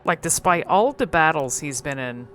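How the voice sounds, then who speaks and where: A woman's voice: low-pitched, expressive, normal speed, a bit bright, a little hiss or hum. A woman hosting casual, unscripted game commentary, musing and thinking aloud in a hesitant way. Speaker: video host exploring game cutscenes.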